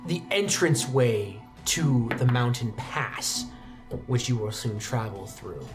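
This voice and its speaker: A man running a tabletop game, his narration measured and descriptive, often sinking low and quiet for the small details. Soft music plays faintly behind him. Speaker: game master.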